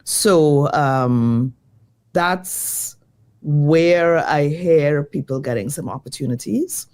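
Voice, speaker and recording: A woman design educator, slightly croaky from jet lag, answering interview questions in a lively, thoughtful way. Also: low voice, slow pace. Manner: lively, thoughtful, many fillers.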